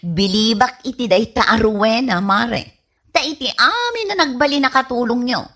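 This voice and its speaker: A woman's voice: a little high, expressive, moderate pace, a bit bright. A woman acting a chatty, frank neighbour in an Ilocano radio comedy-drama, gossipy and familiar, with an Ilocano Filipino accent. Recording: music under the voice.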